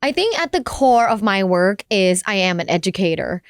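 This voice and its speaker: A woman's voice: medium pitch, big pitch swings, normal speed, dry recording, clean studio sound. Frank, laughing woman educator. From Thailand. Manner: frank, laughing easily, explains with emphasis. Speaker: guest sex educator and professor. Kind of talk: candid podcast conversation. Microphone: studio mic.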